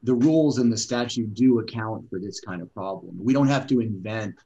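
A man's voice: lowish voice, fairly quick, dark tone, dull audio. A middle-aged man serving as a judge, questioning an attorney at oral argument in a probing, conversational way. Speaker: appellate judge. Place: courtroom bench, indoors.

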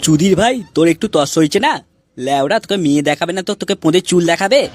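Man voicing a brash, fast-talking cartoon buddy. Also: a little high, animated, music in the background, lightly acted. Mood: scolding. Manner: slangy, scolding. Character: brash, foul-mouthed young friend, bossy but reassuring. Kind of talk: comedy cartoon dubbing.

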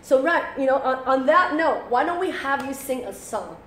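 A chatty woman voice teacher in her thirties runs a casual live stream from a living-room sofa. She switches between Mandarin and English and talks in an animated way. Her voice sits fairly high, and she is picked up by a distant webcam in an echoey room with faint background noise. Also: moderate pace. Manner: chatty, animated, often translates herself and laughs. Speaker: voice teacher hosting a live show. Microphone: fixed webcam at a distance.

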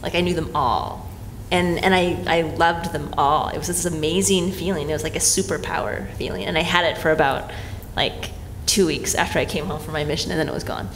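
A man's voice: fairly high pitch, fairly even intonation, a little fast, few pauses, a bit bright. A man on a conference panel, speaking in a thoughtful, meandering way, with many fillers. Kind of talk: panel discussion. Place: hotel conference room. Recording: long hall reverb, faint noise.

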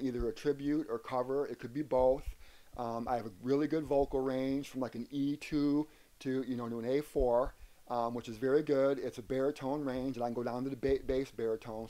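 A middle-aged or older man with an American accent answering interview questions earnestly, often repeating words haltingly. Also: mid-range voice, warm tone. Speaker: interview guest, aspiring rock singer. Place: wooden sunroom indoors.

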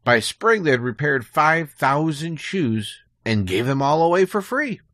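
A man's voice: fairly high pitch, sing-song, a little slow, fairly bright tone, no room sound, clean studio sound. An American man speaking as a podcast guest, telling prepared, informative stories in a conversational way.

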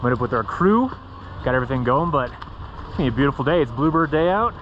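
A man's voice: medium pitch, expressive, fast talker, dull audio, a little hiss or hum. A young man narrating a casual ski vlog, upbeat and chatty as he talks straight to his viewers from the mountain. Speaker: ski vlogger. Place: outdoors on a ski slope and chairlift. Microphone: action camera on a pole mount.

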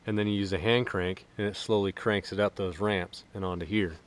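A man in his thirties giving a relaxed, casual walkthrough of equipment outdoors in a wooded yard, filming himself. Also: on the low side, fairly quick. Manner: relaxed, explanatory, joking. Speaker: vlogger.

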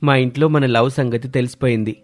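A man's voice: mid-range voice, steady tone, brisk, natural voice. A man playing an eager, affectionate young man in a cartoon story. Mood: excited. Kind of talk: scripted cartoon dialogue.

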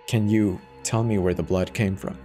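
Low-voiced, reassuring male dispatcher acted by a man. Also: normal speed, soft background music, close to an everyday voice. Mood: concerned. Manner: calm, reassuring, soft-spoken urging. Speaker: emergency dispatcher. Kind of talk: horror audio drama, dramatic reading.

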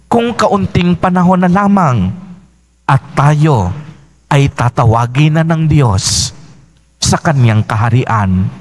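A man in his thirties, a priest preaching a homily in a church, speaking into a pulpit mic in slow, rhythmic refrains. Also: a little high. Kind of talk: homily at Mass. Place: church sanctuary, indoors. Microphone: mic on a stand at the pulpit.

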